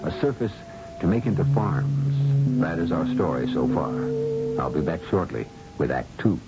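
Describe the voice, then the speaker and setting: A man hosting and narrating an old-time radio drama in a portentous, theatrical, deliberate scripted style. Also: low-pitched, animated. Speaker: host and narrator. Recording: dull radio recording, loud music behind.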